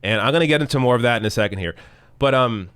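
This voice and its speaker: A man hosting a live political commentary stream, arguing bluntly and reading tweets aloud, with a voice that is going hoarse. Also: mid-range voice, lively intonation, very fast, fairly bright tone, no echo. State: losing his voice.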